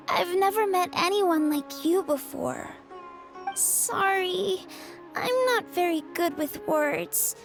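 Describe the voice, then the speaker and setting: Shy reserved little girl, video game character, tiny high voice, unhurried, soft-spoken. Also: heavily acted. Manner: hesitant, soft-spoken, few words.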